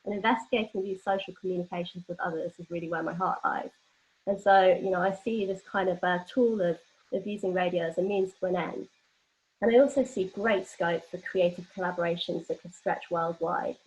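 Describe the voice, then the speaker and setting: A young woman leading an informal online workshop, explaining things in a hesitant way with pauses mid-phrase. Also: lowish voice, steady tone, a little mellow. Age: young adult. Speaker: workshop leader. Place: online video call from home. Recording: dull lo-fi online call audio.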